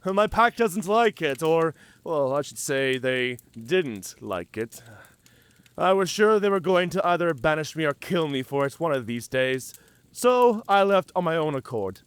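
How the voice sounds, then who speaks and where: A slow, deliberate werewolf in human form, pitched a little high for a male voice. He is wounded yet wry and talkative, bantering theatrically as in a cinematic audio roleplay. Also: sing-song, smooth, dry recording. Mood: amused, teasing.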